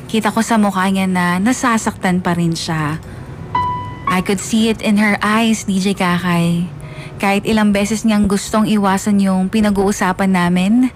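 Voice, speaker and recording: A woman's voice: medium pitch, natural voice. A woman playing a hurt, resentful youngster narrating a confessional radio drama, with a Filipino accent. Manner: plaintive, reflective. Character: hurt, resentful child telling of parents' separation.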